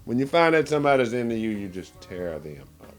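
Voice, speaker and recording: A man's voice: medium pitch, sing-song, fairly quick. A man who sings and plays blues guitar, talking in an interview in an emphatic, colloquial, demonstrative way. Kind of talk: casual interview.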